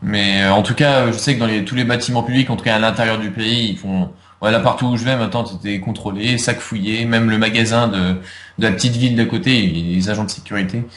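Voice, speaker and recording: A man's voice: on the low side, steady tone, fast, a bit bright. Hesitant young man in an echoey room. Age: young adult. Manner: hesitant, many fillers and restarts. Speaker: interviewee, video creator. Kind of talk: casual interview chat.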